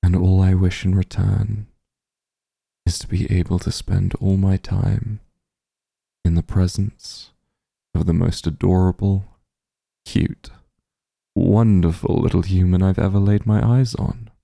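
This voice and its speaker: A man plays a softly dominant demon lord in a comforting ASMR roleplay. His voice is low and extremely dark, with heavy husky breathiness. He coaxes and dotes possessively, tender and unhurried, right up at the mic. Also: little pitch movement, no echo, studio quality, exaggerated voice. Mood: teasing, doting. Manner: tender, coaxing, teasing, hushed. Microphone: close microphone, dry.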